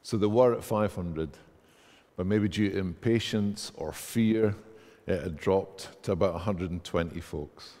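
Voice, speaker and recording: A man's voice: low-pitched, a little slow, dark tone. A man in his thirties with a Scottish accent, preaching a sermon in a large church and reading scripture aloud with rising emphasis. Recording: church hall reverb.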